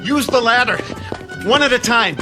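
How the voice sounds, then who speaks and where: A man playing a steady, practical spaceship pilot in a radio drama. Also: extremely high pitch, moderate pace, over music. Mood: urgent.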